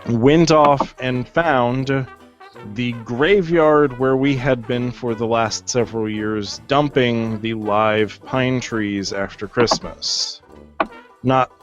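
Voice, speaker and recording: A man hosting a relaxed movie-night chat, wandering through a story and filling the gaps with "like." He sits at a middling pitch. His voice comes through a dull, lo-fi recording with faint music in the background. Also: slow pace. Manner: rambling storyteller, many "like" fillers. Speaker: host.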